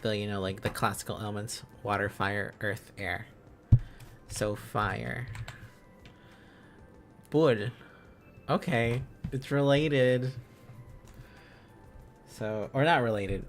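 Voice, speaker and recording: A man hosting an informal language-learning livestream, thinking aloud in a halting, casual, self-correcting way. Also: fairly low pitch, soft background music. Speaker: livestream host learning Korean along with viewers.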